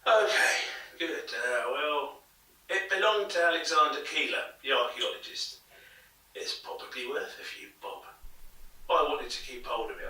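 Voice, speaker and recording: A man's voice: fairly high pitch, animated, measured pace, sharp tone, exaggerated voice. A British man playing a matey but defensive pub landlord, informal and guarded, in an audio drama. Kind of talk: audio drama interview acting. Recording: very echoey room, distant mic.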